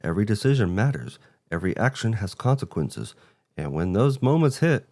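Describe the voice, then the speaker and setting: A man's voice: on the low side, very animated, a little fast, a little mellow. A man co-hosting a video game show, reading a scripted presentation. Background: background music.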